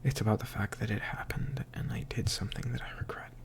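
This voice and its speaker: A slightly tipsy man recording a confessional audio diary, speaking in a halting, hushed, introspective way that often trails into a whisper. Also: on the low side, steady tone, vocal fry. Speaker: audio diarist.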